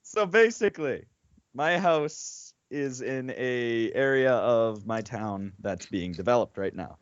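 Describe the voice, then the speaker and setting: Male podcast co-host, informal storyteller, medium pitch, dry but dull-sounding home recording. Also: smooth, no room sound. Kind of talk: casual storytelling among friends.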